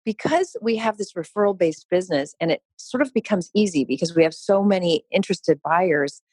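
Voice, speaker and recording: A woman, a real estate company founder, telling a story as a podcast guest in an emphatic, animated way, laughing often and adding quick asides. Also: lowish voice, no echo. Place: podcast interview.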